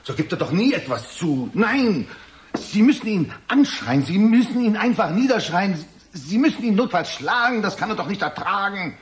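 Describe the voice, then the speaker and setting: A man's voice: sits high, very animated, normal speed, a little hiss or hum. An actor playing an anxious, insistent older father in a German radio drama, agitated and indignant, tripping over his words. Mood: frantic, insistent. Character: anxious, bitter, insistent father accusing his own son.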